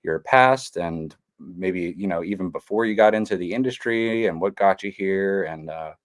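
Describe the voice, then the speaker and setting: Deep-voiced laid-back podcast host man. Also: moderate pace, dry recording, studio quality. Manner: laid-back, many fillers, calls guest bro. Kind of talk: casual podcast interview.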